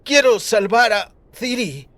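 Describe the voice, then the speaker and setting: A man's voice: high voice, expressive, slow, a bit bright, voice pushed up, exaggerated voice. A man voicing a passionate, indignant young scribe who protests and pleads. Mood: desperate, defiant.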